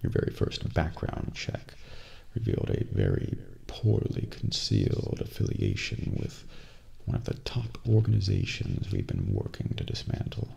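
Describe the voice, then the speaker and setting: Casting: male actor as cold, polite hitman for ASMR role play, heavy vocal fry, dark hushed delivery. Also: rumbling low, wildly swooping pitch, exaggerated voice. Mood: smug, cold. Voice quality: breathy, gravelly, near-whisper. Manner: deliberate, polite, menacing. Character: cold, calculating male assassin agent gloating over his victim. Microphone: close binaural microphone. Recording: close binaural mic, faint noise.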